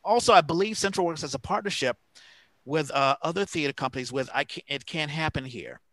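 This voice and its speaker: A man co-hosting a casual talk show, reading out shout-outs and announcements in a halting way as he searches for details. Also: fairly high pitch, expressive, no room sound, poor audio. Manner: halting, pausing to find names and details. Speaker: podcast co-host.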